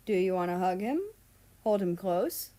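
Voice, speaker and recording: A woman's voice: on the low side, normal speed, warm tone, close to an everyday voice. A woman voicing a pushy, exasperated young female friend in a story, playful and emphatic. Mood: pushy teasing.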